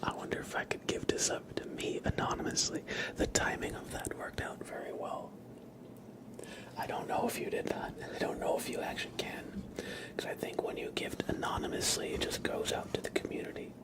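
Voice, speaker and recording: A man hosting a live ASMR stream, speaking in a breathy, hushed whisper with repeated, drawn-out words. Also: poor audio. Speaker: ASMR livestream host. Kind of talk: live ASMR, thanking viewers and chatting.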